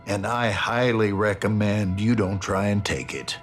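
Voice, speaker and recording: An actor playing a stern, self-righteous general in a military game, measured and authoritative. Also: male voice, on the low side, steady tone, faint music behind, natural voice. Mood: stern, insistent. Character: stern, defiant, self-righteous older male American general. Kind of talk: dramatic game acting.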